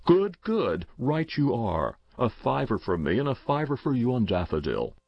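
Man playing a jovial, teasing uncle, very expressive. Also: mid-range voice, moderate pace, vocal fry, slightly rough, no echo, lo-fi sound, heavily acted. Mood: jovial, indulgent. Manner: teasing, incredulous, hearty. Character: amused, jovial, indulgent grown-up uncle. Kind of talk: storybook character reading.

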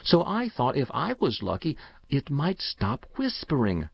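A man's voice: fairly high pitch, wildly swooping pitch, a little slow, creaky, breathy edge, no echo, lo-fi sound, pitched above the performer's own voice, full character voice. A man reading aloud, voicing an eager, anxious young boy who is secretive and intense. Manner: earnest, pleading.

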